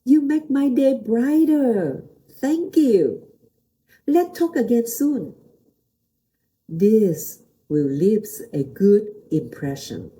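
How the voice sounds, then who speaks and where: Slow, mellow Vietnamese-accented woman. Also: a little high, sing-song. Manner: simple non-native English with short, repeated phrases and questions to the listener. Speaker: host, motivational teacher and pastor. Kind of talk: motivational talk to viewers.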